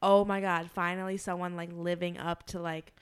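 A woman's voice: lowish voice, little pitch movement, clean tone. A woman co-hosting a pop-culture podcast, chatting casually and filling her talk with fillers while nursing a cold. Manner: chatty, fast-flowing, heavy with fillers. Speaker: podcast co-host.

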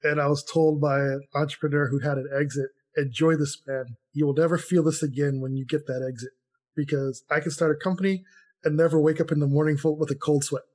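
Higher-voiced man chatting on a podcast. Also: fairly even intonation, brisk, darkish tone. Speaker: podcast co-host and entrepreneur. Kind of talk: casual conversational talk.

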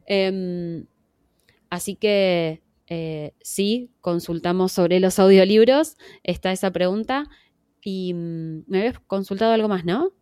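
A woman's voice: mid-range voice, dark tone, clear, resonant tone, dry recording, studio quality. A woman with an Argentine accent, a podcast survey organizer explaining patiently as an interview guest, with frequent fillers. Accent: Argentine Spanish. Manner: measured, many fillers and "¿no?" tags.

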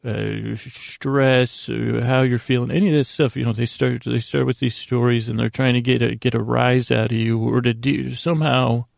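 Male podcast host, heavily creaky voice, halting and digressive bedtime rambling, very close dry sound. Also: mid-range voice, steady tone, no room sound. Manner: meandering, halting, self-interrupting, digressive.

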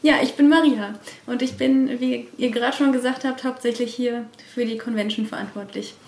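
A woman's voice: on the high side, steady tone, normal speed, a little mellow, a little hiss or hum. A woman, an event organiser, answering casually in an office interview. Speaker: convention organiser being interviewed. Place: indoors in an office. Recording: echoey room, distant from microphone.

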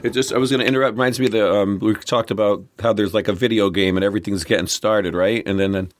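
A man's voice: lowish voice, steady tone, quick pace. A man co-hosting a history podcast in casual chat, with a frog in his throat, answering with short, easygoing reactions. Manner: easygoing, brief reactions and chuckles. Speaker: podcast co-host.

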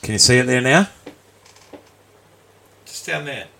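A man's voice: mid-range voice, animated, unhurried, vocal fry, pushed and bright. A man hosting a casual live podcast, laughing often and reading out listener numbers. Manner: laughs often, chatty, reads out stats. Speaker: podcast host.

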